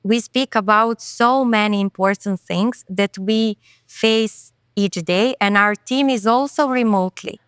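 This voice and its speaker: A woman speaks in an interview, earnest and thoughtful, with quick self-corrections. Her voice is smooth and clear at a middle pitch. The sound is dry and close. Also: fairly bright tone, no echo. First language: Serbian. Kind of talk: podcast interview conversation.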